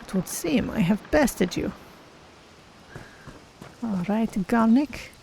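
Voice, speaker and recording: A man voicing a proud, courteous cat-person game character with formal, measured wording while streaming. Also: high voice, expressive, creaky, darkish tone, slight background noise, exaggerated voice, poor audio. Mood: confident, curious. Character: proud, courteous Khajiit cat-person adventurer, third-person speech. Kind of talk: in-character game role-play commentary.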